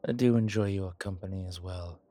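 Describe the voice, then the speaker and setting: A man playing a suave, grateful old vampire in a roleplay audio, speaking formally with pauses and quiet amusement. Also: low-pitched, natural voice. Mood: warmly amused. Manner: genteel, formal, hesitant pauses, soft chuckles. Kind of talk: intimate one-sided roleplay audio drama.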